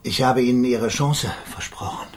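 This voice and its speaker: An actor playing an older, stern and bitter idealist lawyer in a radio crime drama, speaking solemnly and deliberately. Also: male voice, medium pitch, fairly even intonation, close to an everyday voice. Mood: subdued, resolved.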